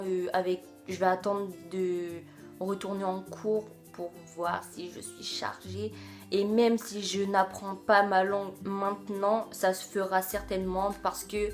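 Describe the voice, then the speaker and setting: A woman's voice: medium pitch, little pitch movement, measured pace, faint music behind. A young woman with a French accent chatting casually to camera as a vlogger, hesitant and full of fillers. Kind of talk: casual video chat.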